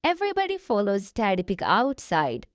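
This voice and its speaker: A woman reading a picture book aloud to young children as the host, in an expressive, child-directed manner. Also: mid-range voice, moderate pace, dry recording. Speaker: storybook reader, channel host. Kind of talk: children's read-aloud narration.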